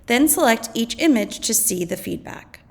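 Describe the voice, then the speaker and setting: A woman's voice: fairly high pitch, lively intonation, moderate pace, gravelly. A woman reading educational material aloud as a teacher, in an instructional way that explains and poses questions. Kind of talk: scripted educational read-aloud narration.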